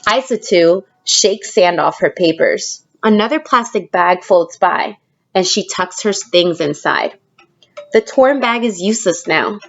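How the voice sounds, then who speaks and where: A woman teacher reading a picture book aloud expressively, pausing to prompt young listeners with questions. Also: medium pitch, expressive, gravelly, lo-fi sound. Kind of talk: storybook read-aloud with questions to children.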